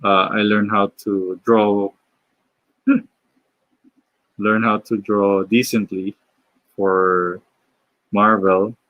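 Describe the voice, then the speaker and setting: A man from the Philippines with a Filipino accent, chatting casually on a live stream while drawing, halting, with many fillers and restarts. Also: on the low side, flat delivery, dry recording, narrow, tinny audio. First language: Filipino (Tagalog). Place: home.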